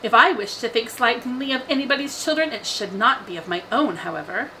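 An excitable, scheming middle-aged mother acted out by a woman reader, gushing and insistent in a bright, forceful voice. She is a fussy storybook matron, heard over some background noise. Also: fairly high pitch, brisk, voice pushed up, rough recording. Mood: indignant. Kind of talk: audiobook character voice.

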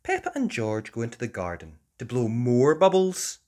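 A woman reading a children's storybook aloud as host, in expressive narration. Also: extremely low pitch, moderate pace. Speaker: storybook reader, channel host.

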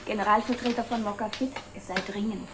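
An actress playing a brisk, composed secretary to a company director in an old television drama. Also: female voice, mid-range voice, fairly even intonation, background noise, close to an everyday voice. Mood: brisk, efficient. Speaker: actor in a television series. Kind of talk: 1960s German television drama acting.

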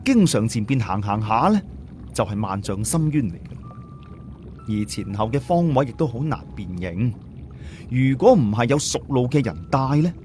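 A man's voice: mid-range voice, big pitch swings, moderate pace, a little mellow, soft background music. A man with a Cantonese accent narrating an audiobook story in a colloquial way, with conversational asides.

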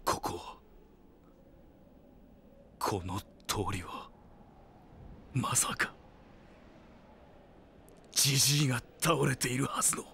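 An actor playing a tough, righteous young delinquent hero in a Japanese audio drama, with rough, curt, taunting speech. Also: male voice, medium pitch, takes it slowly, pauses often, bright, forceful tone, a little hiss or hum. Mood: shocked realization.

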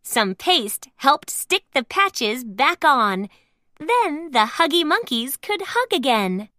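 High-pitched, animated woman reading a storybook. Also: takes it slowly, no room sound, clean studio sound. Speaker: storybook narrator. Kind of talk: children's read-aloud scripted narration.

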